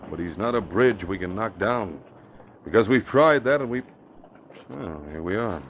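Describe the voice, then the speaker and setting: A low, measured voice of a middle-aged police commissioner in an old radio crime drama. He is wary and confiding, and the recording is dull and muffled. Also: animated, a little hiss or hum. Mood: grim, frank. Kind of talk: 1940s radio acting.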